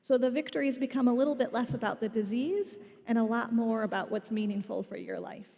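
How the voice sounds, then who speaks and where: A woman clinician speaking gently and reassuringly to patients and families on a symposium panel. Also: a little high, fairly even intonation, fairly quick, creaky, lo-fi sound. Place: conference hall. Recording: long reverb in a hall.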